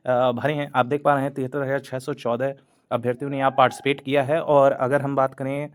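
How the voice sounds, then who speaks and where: A man's voice: medium pitch, level tone, fairly quick, clear, resonant tone. Hindi-speaking man explaining exam news. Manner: repetitive, hesitant fillers, Hindi-English mix. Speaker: exam coaching channel host. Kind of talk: explainer talk to camera.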